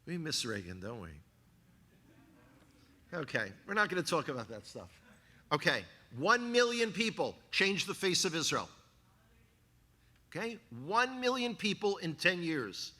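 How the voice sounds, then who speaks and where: A man with a Bronx New York accent giving a church talk, animated and cracking jokes to the congregation. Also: a little high, measured pace, long pauses. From New York, USA. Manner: animated, joking asides to the congregation. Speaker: guest speaker, former tour guide. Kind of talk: sermon-style talk. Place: church hall. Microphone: handheld microphone.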